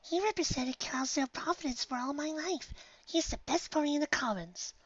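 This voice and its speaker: A reader voicing a shy, gentle young mare who speaks quietly and softly. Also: high-pitched, normal speed, muffled recording, voice pushed up. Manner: soft, quiet, timid.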